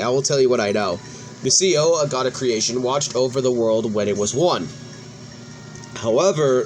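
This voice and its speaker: Male gaming host, mid-pitched, reads story dialogue aloud with the odd stumble, music behind him. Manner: stumbles over and restarts words while reading. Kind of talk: reading game cutscene dialogue aloud in a let's play.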